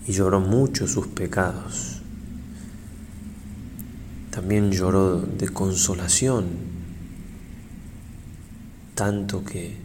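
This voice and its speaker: A man, a priest, leading a guided prayer meditation in a solemn, measured, reverent way with long pauses. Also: low voice, a little mellow. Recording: long hall reverb, faint background noise.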